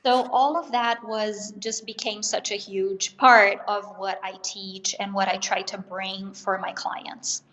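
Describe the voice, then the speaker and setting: A woman's voice: medium pitch, steady tone, unhurried, hardly any pauses, fairly bright tone, big-room sound, muffled recording. A woman from Brazil, chatting upbeat as an interview guest about her work teaching Pilates. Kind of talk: casual interview chat.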